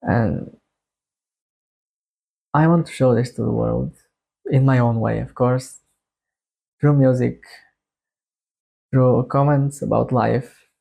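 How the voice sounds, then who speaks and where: A young man with a Bulgarian accent gives a casual monologue to his viewers. His voice is gravelly and mellow, and he speaks slowly, often pausing partway through a thought as if feeling out the next phrase. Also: medium pitch, fairly even intonation. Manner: halting, hesitant delivery with long phrasing breaks. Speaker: YouTuber introducing a music channel.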